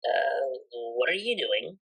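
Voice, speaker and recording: A woman's voice: very deep voice, lively intonation, slow pace, creaky, fairly bright tone, breathy edge, pitched below the performer's own voice, heavily acted. A woman voicing an awkward, smitten young unicorn DJ in a story, with a hesitant, informal, stammering way of talking.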